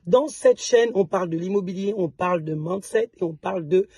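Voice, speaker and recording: Woman entrepreneur, mellow and slightly hoarse, loose chatty vlog delivery. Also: on the low side, brisk, no room sound. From DR Congo. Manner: chatty, with frequent restarts and repeated words. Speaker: entrepreneur and vlogger. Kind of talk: casual vlog talk to viewers.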